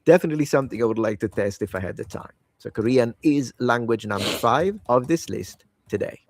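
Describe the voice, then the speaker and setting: A man's voice: mid-range voice, expressive, brisk, dry recording. A tired man whose native language is Italian, chatting casually and enthusiastically about the languages he wants to learn, often rambling and correcting himself. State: tired, late at night. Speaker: language-learning video host. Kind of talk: casual solo talk to camera.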